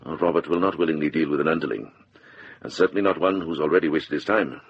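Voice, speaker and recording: Older monk, very low husky voice, shrewd and kindly, radio drama. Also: male voice, fast, lo-fi sound, full character voice. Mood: calm, grave. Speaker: actor.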